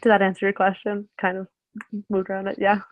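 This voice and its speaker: A woman's voice: mid-range voice, monotone, quick pace, dry recording. A woman in her twenties, a guest talking casually on a video call, rambling with many fillers and self-corrections. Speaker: guest speaker. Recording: tinny phone-like call audio.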